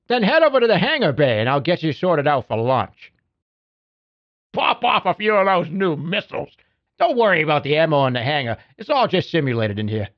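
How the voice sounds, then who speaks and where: A man's voice: high-pitched, lo-fi sound. A man playing a cocky, folksy video game mechanic who briefs a pilot with slangy wisecracks. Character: cocky, folksy spaceship mechanic who babies his mech suit. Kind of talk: video game character voicelines.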